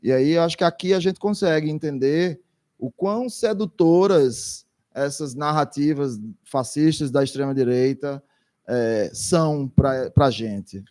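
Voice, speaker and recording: A man's voice: a little high, measured pace, darkish tone. A man doing consecutive interpretation for a lecture, speaking hesitantly with frequent restarts.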